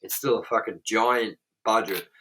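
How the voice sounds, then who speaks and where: A man's voice: fairly low pitch, normal speed, bright, forceful tone, slight background noise. A young man hosting a casual movie review chat, blunt and swearing often. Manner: swears a lot, blunt and frank. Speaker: host.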